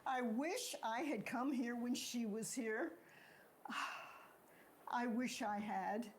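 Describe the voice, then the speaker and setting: Woman acting a wistful rural farm wife, higher voice. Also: slow pace, a little hiss or hum. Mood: wistful, regretful. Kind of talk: stage drama dialogue acting.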